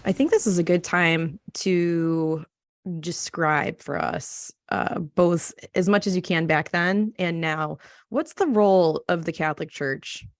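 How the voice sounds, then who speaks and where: A woman's voice: on the low side, slow, no echo, studio quality. A woman hosting an interview podcast, talking conversationally and informally as she sets up questions and checks her understanding. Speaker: podcast host interviewing a journalist.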